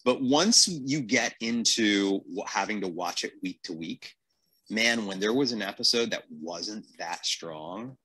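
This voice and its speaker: A man's voice: lowish voice, expressive, unhurried, bright, forceful tone, husky. A man in casual podcast chat, telling stories and acting out reactions as he goes. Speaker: podcast host.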